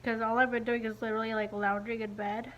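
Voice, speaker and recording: A woman's voice: a little high, level tone, quick pace, fairly bright tone, slight background noise. A young woman talking casually to a phone camera in her living room, her voice congested and her lips stiff after nose surgery. She rambles chattily, with many fillers. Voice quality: stiff-lipped, slightly muffled speech. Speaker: beauty vlogger. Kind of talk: casual video diary. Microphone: phone camera at arm's length.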